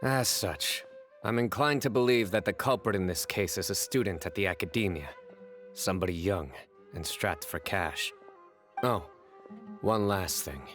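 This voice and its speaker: A stern male investigator from a video game, low and composed. He speaks with measured authority, with soft music behind him. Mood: authoritative.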